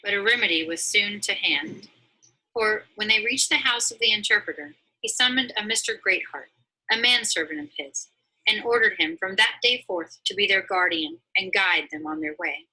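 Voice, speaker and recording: A woman reading a storybook aloud as narrator, in a dramatic, expressive manner. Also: mid-range voice, normal speed, breathy edge, rough recording. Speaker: story reader.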